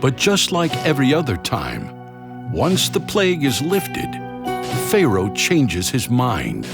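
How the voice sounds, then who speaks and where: A man narrating a scripted children's story in a dramatic, expressive way. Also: mid-range voice, takes it slowly, creaky. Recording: loud music behind the voice. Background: music.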